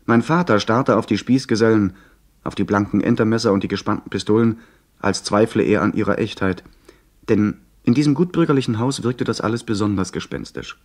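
Male actor as radio play narrator, low voice, literary, dramatic storytelling, recalling an adventure. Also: lightly acted.

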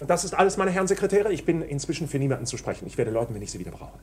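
An actor playing a tense, stubborn young nephew in a radio drama, controlled, terse and brooding. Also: male voice, on the high side, big pitch swings, rapid-fire, very noisy. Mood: curt. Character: tense, stubborn bank clerk nephew under accusation.

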